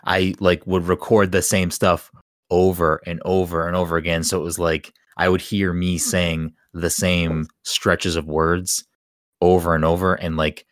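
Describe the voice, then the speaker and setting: Very deep-voiced laid-back man. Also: flat delivery, a little slow, no room sound, clean studio sound. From Boston area, Massachusetts. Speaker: podcast host. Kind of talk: casual podcast chat.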